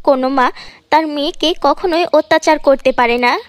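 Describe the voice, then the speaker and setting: A woman voicing a gentle, forgiving young daughter in a cartoon fairy tale, reassuring in manner. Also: high voice, normal speed, no room sound, natural voice.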